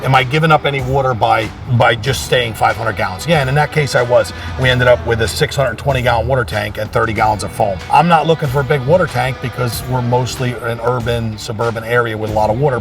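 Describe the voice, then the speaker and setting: A man's voice: medium pitch, fast. American guy, fire chief talking shop outside, mic a bit far off, faint music behind. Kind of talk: explanatory equipment walk-through. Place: outdoors around a fire truck.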